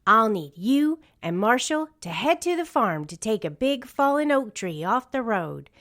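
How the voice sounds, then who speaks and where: A confident, upbeat boy who leads a team of rescue pups in a storybook, as a woman reader puts him on. He is decisive and encouraging, speaking with big lively pitch swings and an unhurried pace. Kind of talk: storybook character reading.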